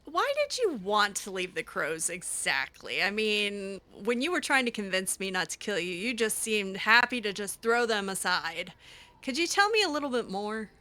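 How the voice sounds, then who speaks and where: A woman's voice: medium pitch, sing-song, normal speed, bright, forceful tone. A woman improvising in character over a video game, conversational and hesitant, often trailing off. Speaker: roleplay game streamer.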